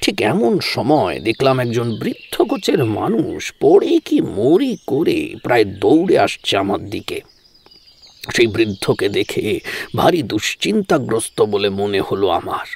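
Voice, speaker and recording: A man's voice: mid-range voice, very animated, unhurried, creaky, slight background noise. Man narrating a dramatic audio story.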